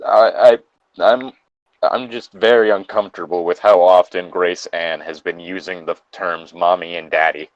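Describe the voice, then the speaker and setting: A man's voice: deep voice, steady tone, moderate pace, no echo, highs cut off. A man co-hosting in casual banter, reading a story aloud and mocking it with wry, crude jokes. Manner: wry, irreverent, crude joking. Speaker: host of a comedic fan-fiction reading.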